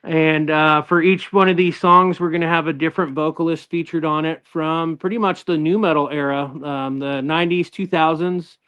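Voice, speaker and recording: Middle-aged guest guitarist, a man from Northern California, smooth voice, a bit high, slightly lo-fi recording. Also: steady tone, normal speed, few pauses. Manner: easygoing, rambling with many fillers and restarts. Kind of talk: casual interview chat.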